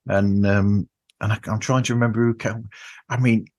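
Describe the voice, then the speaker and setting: A man hosting a podcast, chatting casually and effusively with halting phrasing. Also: lowish voice, steady tone, darkish tone, muffled recording. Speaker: podcast host. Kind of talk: casual interview.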